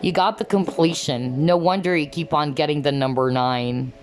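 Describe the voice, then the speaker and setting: A woman giving an earnest tarot card reading, often asking "okay?" as she talks, and her voice is going hoarse. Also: low-pitched, normal speed, soft background music. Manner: earnest, repeats "okay?" to viewers. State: losing her voice. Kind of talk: pick-a-card reading to camera.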